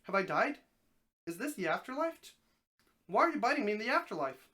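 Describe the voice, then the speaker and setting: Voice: mid-range voice, big pitch swings, brisk, pushed and bright. A reader voicing a bewildered, exhausted man in a story, firing off incredulous questions. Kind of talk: storybook dramatic reading.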